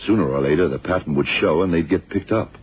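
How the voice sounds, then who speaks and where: Very deep, husky detective. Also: male voice. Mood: insistent, pondering. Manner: deliberate, musing, terse and slangy. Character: shrewd, world-weary male American detective thinking aloud. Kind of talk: 1960s-70s radio drama acting. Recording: muffled, tinny old radio recording.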